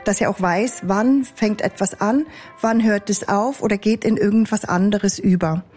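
A woman with a Swiss German accent interpreting aloud in the first person, measured and thoughtful, with small hesitations. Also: medium pitch, a little mellow, faint music behind. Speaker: sign language interpreter.

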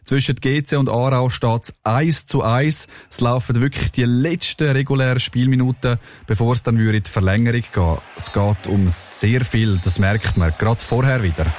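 A man's voice: medium pitch, moderate pace. A man with a Swiss German accent presenting sports news on the radio.

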